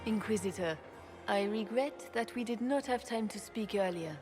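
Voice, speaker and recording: A woman's voice: medium pitch, normal speed, natural voice. A woman as a stately empress over music. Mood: regretful, restrained. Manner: formal, stately, restrained. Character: regal, regretful empress, guarded and proud. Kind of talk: fantasy game cutscene acting. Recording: clear music behind the voice.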